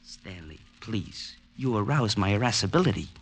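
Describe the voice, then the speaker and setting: An actor playing a smug gangster boss who speaks in stiff, over-formal English and long fancy words, in a 1940s radio drama. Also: male voice, on the low side, very animated, normal speed, breathy edge, full character voice. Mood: pompous, menacing. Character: pompous big-worded gangster man, menacing and smug. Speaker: gang leader.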